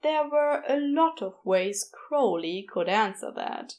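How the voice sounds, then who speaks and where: Woman reading a story aloud, audiobook style, quite high voice, slow pace. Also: animated, a little mellow. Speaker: podfic narrator. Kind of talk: scripted fiction narration.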